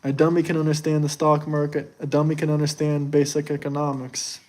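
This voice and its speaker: Young man, from the US, higher-pitched, boastful, defensive, casual stream talk. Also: monotone, fairly quick, a little mellow. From United States. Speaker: streamer answering chat. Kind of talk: casual live chat.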